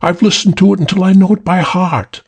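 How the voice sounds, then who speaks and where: A man voicing a young, exasperated woman in a gang, pitching his voice up. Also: steady tone, fairly quick, darkish tone, husky. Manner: exasperated, pleading.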